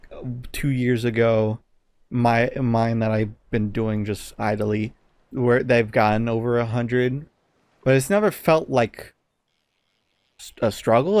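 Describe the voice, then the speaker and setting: Male podcast host. Mid-pitched, informal, halting storyteller, dry room sound. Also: a little mellow, dry recording. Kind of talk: casual podcast chat.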